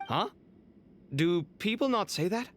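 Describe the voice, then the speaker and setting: A man's voice: sits high, animated, measured pace, slight background noise. A man voicing a friendly, earnest young man in a video game, informal and eager. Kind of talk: video game character acting.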